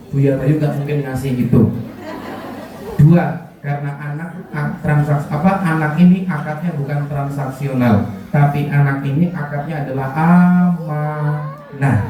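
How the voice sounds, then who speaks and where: A man's voice: fairly high pitch, mellow, distant mic. A man with a Javanese accent giving a joking religious parenting talk to parents, often quizzing them with questions. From Kulon Progo, Yogyakarta, Indonesia. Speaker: parenting speaker. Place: school hall. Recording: very echoey hall, voice from afar.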